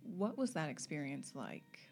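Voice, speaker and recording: A woman interviewer asking gentle, unhurried questions. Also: sits low, very animated, faint music behind.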